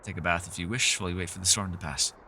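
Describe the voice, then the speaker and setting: A man voicing a centuries-old elf in a fantasy roleplay, gentle, socially awkward and chatty, with formal, old-fashioned, apologetic phrasing. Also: low voice, steady tone, rapid-fire, a little hiss or hum, full character voice. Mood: polite, flustered. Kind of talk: fantasy ASMR roleplay voice acting.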